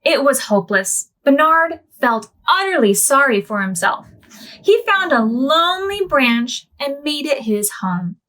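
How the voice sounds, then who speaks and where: Slow sing-song storytime woman. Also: high-pitched, big pitch swings, bright, forceful tone. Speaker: children's librarian. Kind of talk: reading a picture book aloud to preschoolers.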